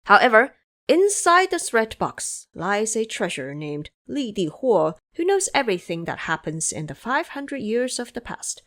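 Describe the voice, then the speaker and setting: A woman voices a humble male monk in an audio drama. The phrasing is courteous and composed, with lively melodic movement. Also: medium pitch.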